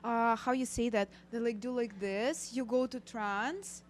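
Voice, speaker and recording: A woman co-hosting a trading show, chatting casually and brightly, sometimes searching for English words. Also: on the high side, steady tone, a little slow. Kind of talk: casual chat.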